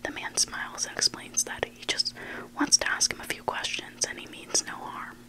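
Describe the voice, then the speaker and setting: A person reading stories for ASMR in a breathy, unvoiced whisper, hushed and deliberate, in short phrases. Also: low-quality recording. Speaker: ASMR reader of online stories.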